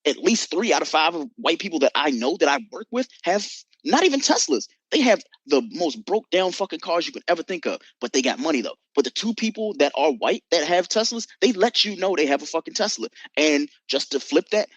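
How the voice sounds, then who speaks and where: A woman calling in with a blunt, profane, animated rant. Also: low voice, brisk, hardly any pauses, husky, dry recording. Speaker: caller. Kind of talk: casual opinion rant.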